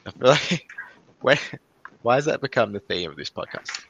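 A man's voice: mid-range voice, very animated, moderate pace, a bit bright, breathy edge, dry recording, lo-fi sound. A young Australian man with an Australian accent, co-hosting a podcast in a laid-back casual chat and laughing easily. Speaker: podcast co-host. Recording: faint background hiss.

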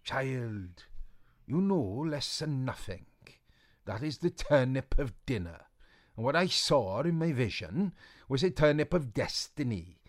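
A man reading aloud and voicing a cranky, bossy old woman seer, high and theatrical. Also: sing-song, measured pace. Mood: scornful.

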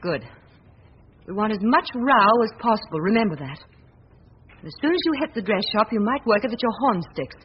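A woman's voice: mid-range voice, very animated, a little fast, slightly rough. A woman playing a cool, efficient criminal go-between who gives orders in a 1940s radio crime drama. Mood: businesslike. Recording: dull, very noisy vintage radio recording.

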